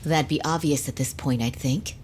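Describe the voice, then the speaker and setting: A cool, unimpressed lawyer from a video game, voiced by a woman, with a low voice. She is formal, dry and precise, over slight background noise. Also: normal speed, darkish tone, lightly acted. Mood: cool, probing. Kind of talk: English video game dub acting.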